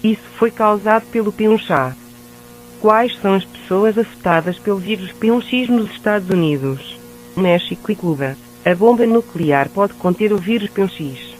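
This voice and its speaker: A man's voice: high-pitched, fairly quick, slight background noise, poor audio. A man reading a scripted emergency announcement in a formal, synthetic-sounding way.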